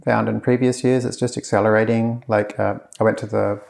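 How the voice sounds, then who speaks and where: A man's voice: on the low side, flat delivery, brisk, creaky, a little mellow. A man being interviewed in casual conversation, talking in a loose, rambling way with lots of fillers and restarts. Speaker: course creator being interviewed.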